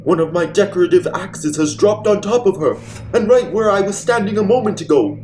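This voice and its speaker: A man's voice: sits high, a little fast, darkish tone, a little hiss or hum, natural voice. A man playing a fussy, self-important villainous master of a mansion in a theatrical, exclamatory old-time radio mystery comedy. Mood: dramatic alarm.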